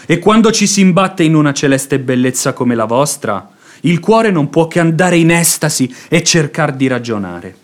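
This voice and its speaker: A man's voice: fairly high pitch, animated, moderate pace, natural voice. An actor playing a fawning, impassioned religious hypocrite in a radio drama, declaiming his pleas in florid, unctuous phrases. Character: pious hypocrite seducer, fawning, impassioned, silver-tongued man. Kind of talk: theatrical radio drama acting.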